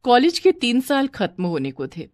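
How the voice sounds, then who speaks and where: A woman's voice: fairly high pitch, very animated, normal speed, dry recording, studio quality. A woman reading a scripted moral bedtime story as a storyteller.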